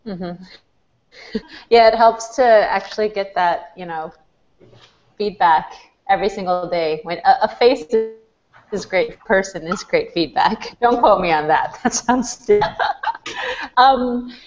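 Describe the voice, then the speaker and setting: A woman's voice: mid-range voice, takes it slowly, long pauses. A woman hosting a panel chat, chatty and giggly as she asks questions. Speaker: host. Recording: dull lo-fi sound.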